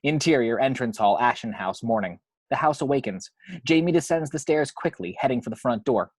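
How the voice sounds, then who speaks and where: A man's voice: medium pitch, expressive, a little fast, husky, no echo. A man reading action descriptions from a screenplay as scripted narration.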